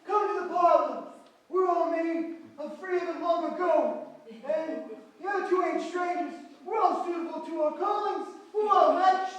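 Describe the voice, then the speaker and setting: A woman's voice: extremely high pitch, unhurried, pauses often. A woman playing a sly, welcoming Victorian junk dealer on stage, theatrical and insistent. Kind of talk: live readers' theatre performance. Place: small theatre hall with audience, on stage. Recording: echoey hall from far off.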